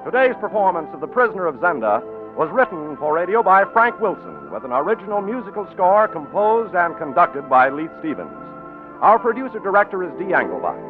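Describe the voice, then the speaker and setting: Old-time radio announcer guy, lively smooth delivery, tinny phone-like sound, faint music. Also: high-pitched. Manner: polished, measured announcer cadence. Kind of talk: scripted sponsor reading and sign-off.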